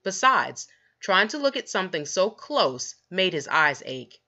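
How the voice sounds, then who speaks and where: A woman reads a children's story aloud, leaning deliberately on key words. Her voice is fairly low, bright and lively in its rise and fall. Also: muffled recording. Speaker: children's book narrator. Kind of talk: expressive read-aloud storytelling.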